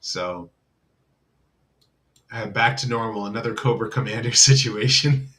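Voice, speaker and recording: A man's voice: fairly low pitch, unhurried, fairly bright tone. A man hosting a casual livestream chat with his viewers, rambling loosely and leaning heavily on filler words. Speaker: livestream host. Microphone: computer mic on a livestream.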